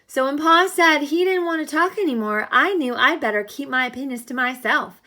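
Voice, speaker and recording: A woman schoolteacher reading a picture book aloud with animated, dramatic emphasis and encouraging asides to her pupils. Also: sits high, normal speed. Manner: encouraging, checks understanding with questions. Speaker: elementary school teacher.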